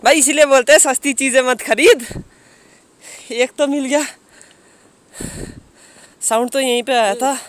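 A man's voice: very high voice, steady tone, unhurried, long pauses, a bit bright, no room sound. A young man teasing his friend with playful swearing outdoors on a road trip. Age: young adult. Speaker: vlogger's friend. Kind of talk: casual banter. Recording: outdoor road and traffic noise.

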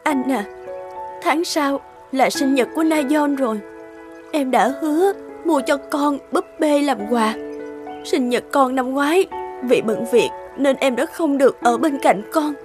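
A fragile young mother full of grief and guilt in a cartoon drama. Her voice is extremely high, plaintive and emotional, over clear music. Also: unhurried. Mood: sorrowful, guilty. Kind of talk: melodramatic cartoon drama acting. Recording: studio voice under loud music.

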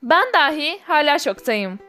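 Female online video narrator, high-pitched, enthusiastic and viewer-directed, over background music. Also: sing-song, unhurried, pushed and bright. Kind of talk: scripted narration for a cartoon countdown video.

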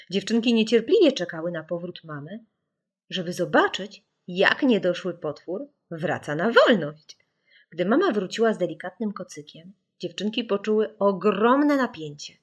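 Woman, children's audiobook narrator, animated delivery, expressive storytelling, background music. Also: lowish voice, breathy edge.

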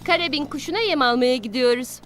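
A woman's voice: high voice, fast, fairly bright tone. A woman voicing an earnest, slightly shy and hesitant teenage girl in a cartoon. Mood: casual. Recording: music behind the voice.

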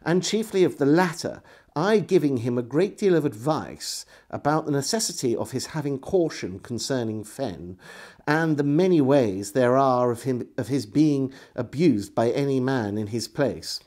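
A middle-aged man reading a historical diary aloud as a storyteller, in an expressive, measured way. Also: medium pitch. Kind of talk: dramatic literary reading. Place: indoors, small room lined with bookshelves.

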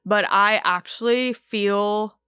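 A woman's voice: medium pitch, takes it slowly, pushed and bright, clear, resonant tone, no echo, clean studio sound. A woman in her twenties co-hosting a casual podcast, talking candidly with slang and swearing. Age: 20s. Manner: candid, slangy, heavy cursing. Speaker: podcast co-host.

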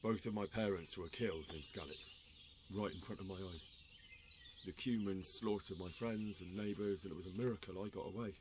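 A male actor as a young medieval commoner in a video game. His voice is on the low side, respectful, plain and sombre. Outdoor ambience with birds is clearly audible behind him. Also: a little fast, noisy background, lightly acted. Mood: sombre, pained. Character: earnest, deferential young medieval commoner, grieving and plain-spoken.

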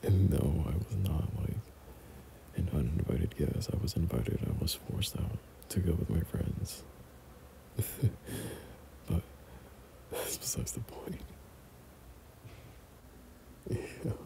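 Low, very gravelly young man, ASMR boyfriend. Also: lively intonation, takes it slowly, pauses often. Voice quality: breathy, often dropping to a whisper. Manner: tender, hesitant, softly chuckling. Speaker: boyfriend ASMR audio performer. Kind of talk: intimate boyfriend roleplay ASMR.

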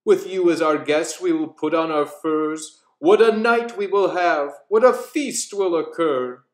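A man's voice: a little high, a little slow, close to an everyday voice. A man voicing a charming, eager storybook bear with a florid, courteous manner. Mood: charmed. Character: charming, genteel bear, eager dinner host. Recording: roomy echo.

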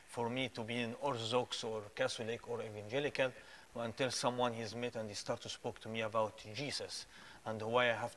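Man, 40s, hesitant fillers, bright forward voice, small studio. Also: mid-range voice, a little hiss or hum. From Egypt. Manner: hesitant, many "uh" fillers, non-native phrasing. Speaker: guest. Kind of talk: testimony interview. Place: small studio set with plants.

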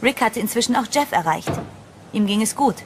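A woman's voice: fairly high pitch, lively intonation, fairly quick, background noise, lightly acted. An actress playing a young woman caught up in a horror story, narrating and speaking in a German audio drama style. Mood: recounting. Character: young woman narrating her horror adventure, stunned, curious.

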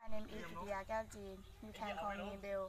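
A woman's voice: mid-range voice, flat delivery, racing, over a noisy crowd. A teenage girl, a student, reading basic English answers one number at a time by park swings. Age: teens. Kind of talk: scripted classroom English dialogue.

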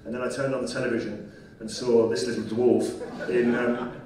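A man's voice: mid-range voice, level tone, a little fast, a little mellow. A man on stage as panel guest, giving a match preview with earnest then jokey remarks. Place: large hall with crowd. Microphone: handheld mic. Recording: roomy hall, distant, crowd noise.

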